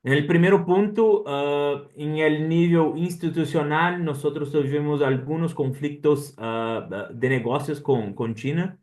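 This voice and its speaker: A Brazilian man whose first language is Portuguese gives an academic conference talk in Spanish as a professor. He has a Portuguese accent with some Colombian touches and fills his pauses with "ah". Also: on the high side, darkish tone. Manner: hesitant, frequent "ah" fillers, mixes in Portuguese. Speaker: seminar speaker, professor.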